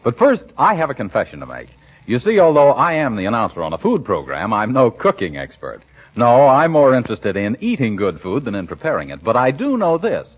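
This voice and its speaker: A man's voice: mid-range voice, wildly swooping pitch, a little fast, slightly rough. An American man working as a 1940s radio announcer, reading scripted copy with polished, emphatic salesmanship. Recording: muffled vintage 1940s radio recording.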